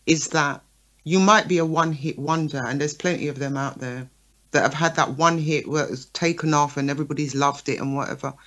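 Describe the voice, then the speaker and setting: A woman's voice: low-pitched, a little fast. A woman co-hosting a radio talk show, making her points and often checking in with "right?" and "okay?". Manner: lively, checks in with listeners by asking right and okay. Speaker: talk show co-host, promoter.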